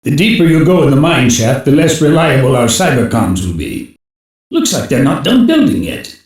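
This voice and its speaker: An actor playing a stern, commanding older security chief who gives terse, authoritative briefings in an anime game. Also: male voice, mid-range voice, big pitch swings, normal speed, darkish tone, natural voice. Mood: grave, businesslike. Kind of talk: English-dubbed anime game voice acting. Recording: very echoey, reverberant game audio.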